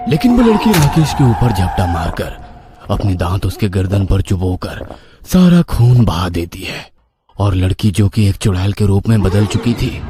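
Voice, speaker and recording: A man narrating a scripted horror story in a dramatic, suspenseful manner. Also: fairly low pitch. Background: background music.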